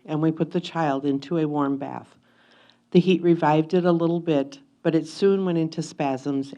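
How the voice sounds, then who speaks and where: Mellow, low-pitched woman reading solemnly. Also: muffled recording. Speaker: reader of historical letters in reader's theatre. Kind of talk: dramatic reading of a first-person historical memoir.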